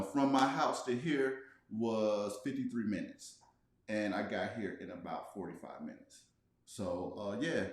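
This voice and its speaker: A man's voice: fairly low pitch, darkish tone. A man chatting casually and conversationally as a laid-back guest on a livestream Q&A. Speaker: guest, travel vlogger. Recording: very echoey room.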